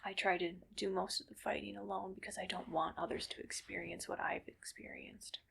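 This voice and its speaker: A woman playing a gentle, weary cyborg soldier in a whispered ASMR roleplay, speaking breathily in slow, halting, soothing phrases. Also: mid-range voice, flat delivery, creaky. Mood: gentle, reassuring.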